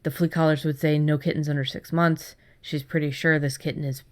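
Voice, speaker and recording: A woman's voice: sits low, level tone, fairly quick, a little mellow. A woman hosting a casual discussion, explaining things in a conversational way. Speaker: host.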